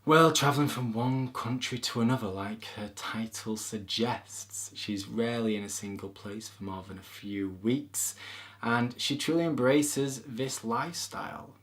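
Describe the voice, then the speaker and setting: A man's voice: mid-range voice, unhurried. A man with a Yorkshire accent hosting an interview podcast, reading a playful introduction and chatting with his guest. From England. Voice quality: lively, playful storyteller. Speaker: podcast host. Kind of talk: casual interview with a read-out intro.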